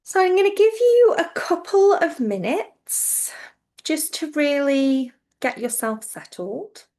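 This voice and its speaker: A woman teaching yoga nidra, guiding listeners into relaxation in a gentle, reassuring, informal way. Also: high-pitched, very animated, slow pace. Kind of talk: guided relaxation instruction.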